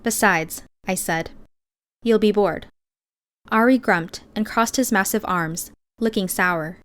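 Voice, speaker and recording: A woman's voice: medium pitch, takes it slowly, fairly bright tone. A woman reading scripted first-person fiction as an audiobook narrator.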